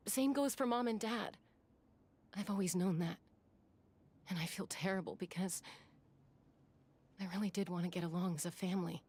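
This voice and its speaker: A woman's voice: lowish voice, a little mellow, slight background noise, close to an everyday voice. A woman playing a protective, earnest older sister and warrior in a video game, firm, reassuring and emotional. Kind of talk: dramatic video game voice acting.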